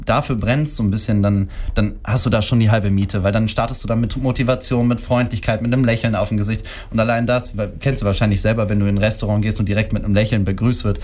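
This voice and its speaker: A man, a hotel food and beverage manager speaking as a guest in a radio interview, with many fillers and pauses. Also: on the low side, fairly even intonation, darkish tone. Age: 30s.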